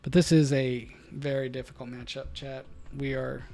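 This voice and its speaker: A man streaming a card game, thinking through his plays out loud to his chat and breaking into loud excited outbursts. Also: medium pitch, normal speed, vocal fry. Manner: muses over choices, bursts into loud excited laughs. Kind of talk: thinking aloud while playing, talking to chat.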